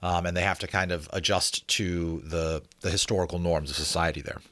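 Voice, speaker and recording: A man's voice: low voice, flat delivery, brisk, a bit bright, dry recording. A man hosting a book reading, chatting informally and enthusiastically with rambling asides. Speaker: narrator, host of dramatic book readings. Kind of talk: chatty commentary and dramatic audiobook reading.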